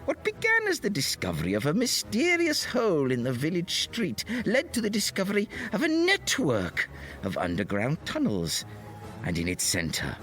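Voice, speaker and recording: A man performs an old teller of tall tales for a comedy podcast. His delivery is hammy and mock-gothic, with doddery asides and extremely animated swings in pitch. Music plays clearly behind him. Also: heavily acted. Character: theatrical old male storyteller of tall tales.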